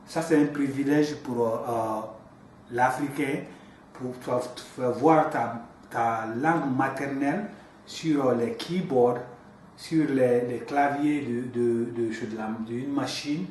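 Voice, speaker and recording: A man interviewing, speaking haltingly with many restarts, repeated words and fillers. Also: medium pitch, a little mellow. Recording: echoey room, from far off, faint noise.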